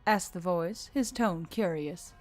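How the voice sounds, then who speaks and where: A woman narrates an action fantasy story as a scripted audiobook. Her voice sits on the low side, and music plays behind her. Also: slow.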